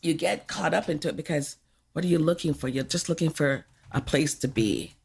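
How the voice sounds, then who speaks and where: A woman's voice: sits low, a little fast, vocal fry, a little mellow, husky. An older woman from Jamaica talking frankly in a podcast conversation, often laughing as she reflects.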